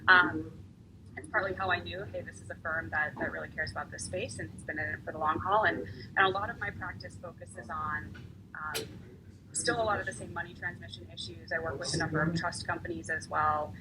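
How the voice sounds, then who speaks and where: A woman with a somewhat low voice talks as a lawyer on a panel, chatty and loose, circling back and repeating words as she thinks. A constant hiss of noise sits behind her. Her delivery sounds unscripted and conversational. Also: fairly even intonation, brisk. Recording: noisy, hissy recording.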